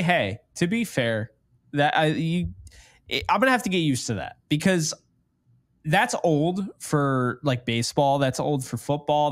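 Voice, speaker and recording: A man's voice: on the high side, no room sound, studio quality. A man in his late twenties chatting casually as co-host of a sports talk show. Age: late 20s.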